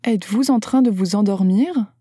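A woman's voice: mid-range voice, normal speed, mellow, breathy edge, no echo, clean studio sound. A woman carefully reading short phrases aloud for a language lesson, enunciating each one. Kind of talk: slow scripted phrase reading.

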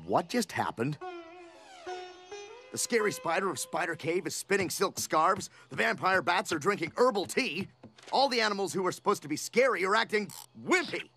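A man's voice: high-pitched, animated, normal speed, sharp tone, heavily acted. A man voicing a sensible, articulate talking ape in a cartoon, fussy and exasperated, given to scolding. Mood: worried. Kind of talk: cartoon voice acting. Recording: cartoon music underneath.

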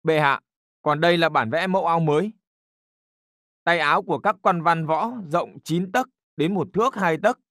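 Man acting a higher-voiced, deferential court official. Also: normal speed, fairly bright tone, no room sound, clean studio sound, natural voice. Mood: respectful. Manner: formal, respectful, precise. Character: diligent, deferential court official reporting to his emperor. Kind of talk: historical cartoon voice acting.

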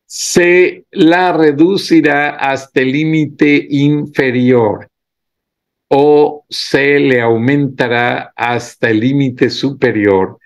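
A middle-aged man talks at an unhurried, drawn-out pace, his voice sitting at an ordinary male pitch. He gives indignant political commentary, slowing further to read legal passages word by word. He speaks into a webcam-style setup. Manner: slow, deliberate, indignant.

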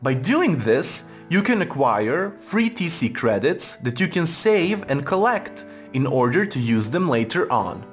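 A man reading a scripted instructional narration in a formal, measured manner. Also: fairly high pitch, very animated, faint music behind. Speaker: tutorial narrator. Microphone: voice-over for screen recording.

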